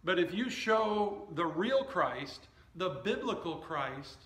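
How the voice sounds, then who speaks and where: A male pastor in his fifties delivers a sermon in an echoey room. His voice sits a little high for a man, and he moves very slowly, setting out each short phrase with deliberate care. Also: sing-song, a bit bright. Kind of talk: sermon with scripture reading and anecdotes. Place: indoor church stage at a wooden lectern.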